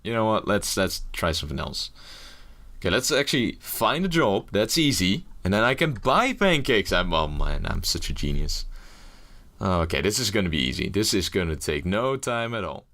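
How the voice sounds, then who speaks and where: Man, fairly low voice, casual gaming chat, rambling, self-mocking jokes. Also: big pitch swings, moderate pace, bright, forceful tone. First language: Dutch. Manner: rambling, jokey, swears casually; nervous first-video energy. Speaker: gaming YouTuber. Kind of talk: casual game commentary.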